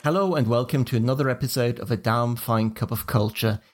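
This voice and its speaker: Man from Switzerland, podcast host, steady organized delivery, mid pitch. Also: slight background noise. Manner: measured, organized, leads the talk. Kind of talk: casual podcast chat.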